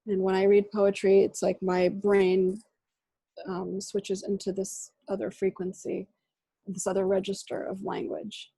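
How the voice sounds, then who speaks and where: Husky-voiced woman, Russian native speaker. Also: mid-range voice, monotone, dark tone, dry recording, rough recording. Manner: thoughtful, pausing often with "um". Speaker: poet. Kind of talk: reflective answers in an online reading Q&A. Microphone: video call.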